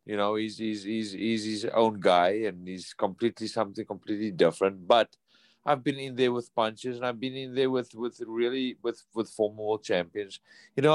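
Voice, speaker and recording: A man's voice: medium pitch, no room sound. A professional boxer in his thirties answering interview questions earnestly, often repeating and restarting words. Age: 30s.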